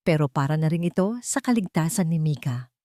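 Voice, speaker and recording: Female narrator as older confidante, soft, hesitant, concerned, low-pitched, dry audio-story sound. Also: animated, brisk, dry recording. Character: hesitant, sympathetic older woman, the grandmother's close friend.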